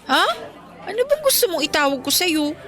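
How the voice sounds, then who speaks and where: An actress playing a playful, stubborn young woman in love in a Filipino radio romance, teasing and expressive. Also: very high voice, normal speed, a faint crowd, full character voice. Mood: playful, insistent. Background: music.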